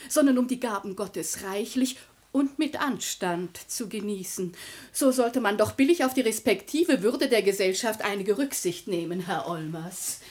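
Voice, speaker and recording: Woman acting prim small-town gossip, moralising, ceremonious, disapproving, mid-pitched, period radio comedy. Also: normal speed, darkish tone, close to an everyday voice. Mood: prim, reproving.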